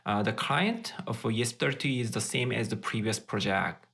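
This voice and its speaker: A man's voice: on the low side, moderate pace, gravelly, a bit bright, breathy edge. A man narrating a technical tutorial in a methodical, step-by-step way. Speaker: tech tutorial presenter.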